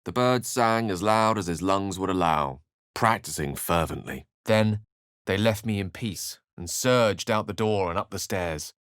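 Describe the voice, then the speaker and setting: An urbane British wizard from a fantasy video game, pitched low and recorded very dry and close. He is eloquent and courteous, with a wry, bookish manner and theatrical turns of phrase. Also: unhurried, fairly bright tone, clean tone, dry recording. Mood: measured storytelling. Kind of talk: video game character voice acting.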